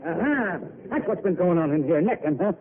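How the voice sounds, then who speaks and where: A jealous hothead picking a fight, kinda high voice, old tinny radio with some hiss. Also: male voice, expressive, a little fast. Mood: angry. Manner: blustering, aggressive. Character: jealous hot-headed young man, brash. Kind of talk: 1940s radio acting.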